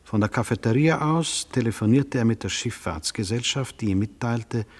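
An actor in a radio drama playing a sceptical, worldly interrogator, measured, matter-of-fact and dismissive. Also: male voice, medium pitch, lightly acted. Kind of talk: German radio drama acting. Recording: long hall reverb, slightly husky sound.

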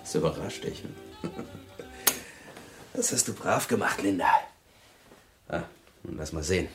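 A brutish crook from a crime radio play, with a low voice that swings widely. He taunts and sneers, gloating and menacing. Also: lively intonation, a little slow, over loud music. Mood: gloating, mocking. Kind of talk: German crime radio drama acting.

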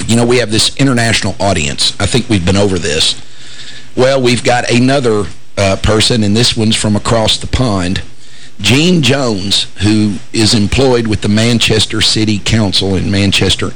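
A man hosting a radio talk show, hoarse and raspy from shouting, joking wryly and stumbling into fillers and restarts. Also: fairly low pitch, slight background noise, low-quality recording. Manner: wry, joking asides, frequent fillers and restarts. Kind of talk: casual talk radio banter.

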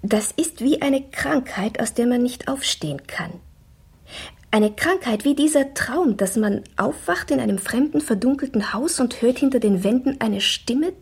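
An actress playing an anxious young woman in a radio drama, speaking in a hushed, halting inner monologue that is often whispered. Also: on the high side, animated, husky, full character voice. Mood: troubled, intimate. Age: young adult.

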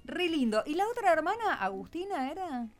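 A woman's voice: very high voice, sing-song, normal speed, soft background music. A woman with an Argentine accent hosting an informal radio chat with schoolchildren, prompting with short questions and echoed answers. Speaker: radio host.

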